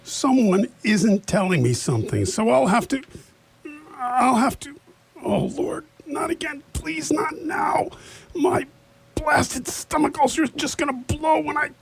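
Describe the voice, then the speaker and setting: A man playing a creaky, hot-tempered sheriff. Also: very high-pitched, animated, unhurried, exaggerated voice, low-quality recording. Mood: strained, exasperated. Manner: irritable, cursing, exasperated. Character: gruff, hot-tempered county sheriff, grumbling and stressed. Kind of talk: radio play acting.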